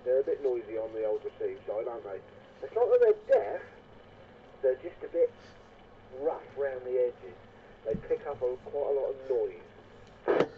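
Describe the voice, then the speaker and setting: A man's voice: mid-range voice, normal speed, long pauses. A man chatting casually over a CB radio, rambling and repeating words. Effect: CB radio transmission. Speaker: CB radio operator. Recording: tinny narrowband CB radio with faint noise.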